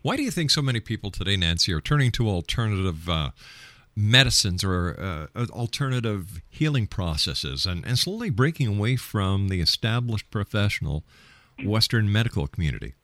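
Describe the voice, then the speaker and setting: A man's voice: lowish voice, big pitch swings, fairly quick, a bit bright, no echo. A man hosting a radio talk show, introducing and interviewing.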